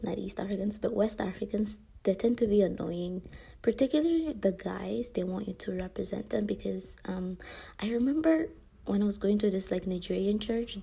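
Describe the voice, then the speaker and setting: Young woman vlogger, husky and gravelly, mellow tone, rambling talk with whispered asides. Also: medium pitch. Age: young adult. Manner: rambling, frequent fillers, drops into whispers. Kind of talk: casual monologue to camera.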